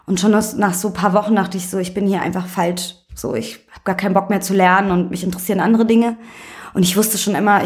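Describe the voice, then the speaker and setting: A woman from Berlin talks casually as an interview guest. Her voice is of average pitch. She tells personal stories in colloquial, candid language, as in a chat between acquaintances. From Berlin, Germany. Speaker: interview guest, music promoter.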